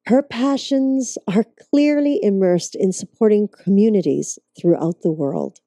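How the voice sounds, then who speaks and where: Mellow-voiced woman hosting a talk show. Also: mid-range voice, a little slow, dry recording, studio quality. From Hong Kong. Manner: gracious, laughs often. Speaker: host.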